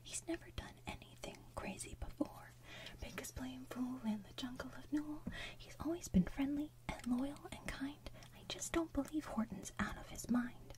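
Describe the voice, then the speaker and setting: A woman's voice: high-pitched, moderate pace, far from the mic, natural voice. A woman voicing a lovestruck, loyal young female bird in a fond whisper. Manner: fond and defensive.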